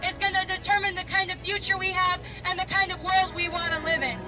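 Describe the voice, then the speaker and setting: Very high-pitched woman speaking at a street rally. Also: steady tone, brisk. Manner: impassioned, exhorting. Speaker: protest speaker. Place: outdoors in a city street. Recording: street protest footage with music.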